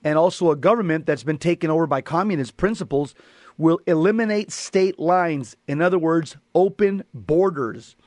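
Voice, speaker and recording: A man's voice: a little high, normal speed, darkish tone, dry recording, clean studio sound. An American man hosting a talk radio show, giving emphatic monologue commentary in which he repeats and restates his points.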